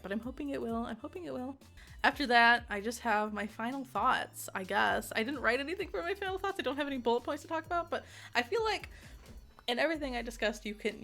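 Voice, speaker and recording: A woman's voice: medium pitch, a bit bright. A woman giving a casual, unscripted game review in an enthusiastic, giggly, rambling way. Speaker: video game reviewer and streamer.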